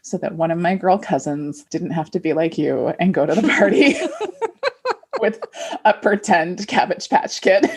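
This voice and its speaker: A middle-aged woman co-hosting a podcast in casual chat, with a husky voice and an easy readiness to laugh. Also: on the low side, big pitch swings, unhurried. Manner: laughs readily while talking. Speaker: podcast co-host.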